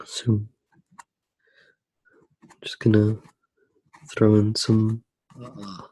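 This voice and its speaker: Deep, husky, soft-spoken ASMR man. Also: monotone, no echo, low-quality recording. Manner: soft-spoken, unhurried, halting with long pauses. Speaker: ASMR artist demonstrating a drawing. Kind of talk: ASMR, narrating while drawing.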